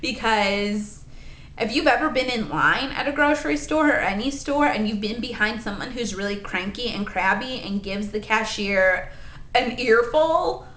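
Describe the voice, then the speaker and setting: A woman in her late twenties chatting in a rambling, animated way for her vlog, full of asides and "like.". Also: fairly high pitch, moderate pace, roomy sound, a little hiss or hum. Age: 20s. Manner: rambling, animated, lots of "like" and "honey". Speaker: vlogger.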